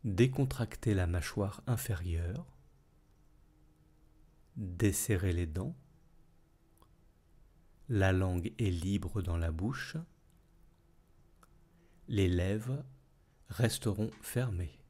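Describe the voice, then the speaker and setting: A man leading a guided yoga nidra relaxation as instructor, speaking calmly and soothingly in measured phrases with long pauses. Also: low-pitched, slightly rough.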